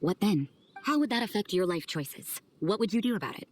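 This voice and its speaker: A woman's voice: medium pitch, expressive, a little fast, natural voice. A woman playing a cool, aloof, blunt woman with hidden kindness in a video game, speaking with dry detachment. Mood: blunt challenge. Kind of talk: video game character acting.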